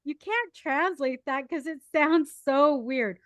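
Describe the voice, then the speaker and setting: Woman with higher voice, animated podcast co-host, dry close sound. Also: slow pace, dry recording. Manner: animated, storytelling with fillers. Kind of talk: casual chat.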